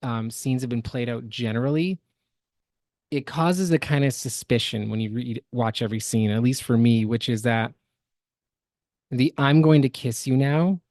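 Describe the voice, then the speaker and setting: Male podcast co-host, casual and measured, picking his words, close dry studio sound. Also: mid-range voice, darkish tone, no room sound. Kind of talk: casual critical discussion.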